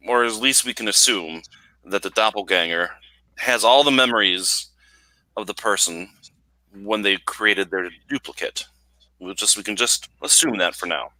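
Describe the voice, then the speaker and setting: A low-pitched man walks the table through his plan with earnest care. His tone is strikingly bright and forceful, recorded close and dry. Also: no echo, poor audio. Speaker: player. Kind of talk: tabletop game discussion.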